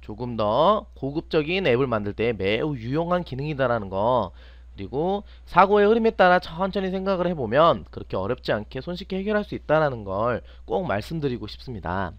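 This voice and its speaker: A man's voice: mid-range voice, sing-song, a little fast, no echo, highs cut off. A man teaching an unscripted step-by-step screen tutorial, often asking questions and answering them himself. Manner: asks and answers own questions. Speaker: teacher, online programming instructor.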